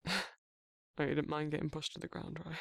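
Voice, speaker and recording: A British man playing a protective but awkward male werewolf in an intimate romantic ASMR roleplay, speaking in a halting, flustered, husky voice. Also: fairly high pitch, little pitch movement, fairly bright tone, no echo, studio quality. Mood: apologetic, protective. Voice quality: gravelly, husky, breathy. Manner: flustered, halting, stammering, trailing off.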